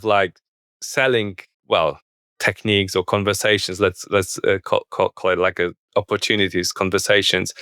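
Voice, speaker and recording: A man with a Polish accent, speaking English as a second language as a podcast guest, halting with many restarts. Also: lowish voice, fairly bright tone, clear, resonant tone, no echo, clean studio sound. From Poland. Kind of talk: podcast interview.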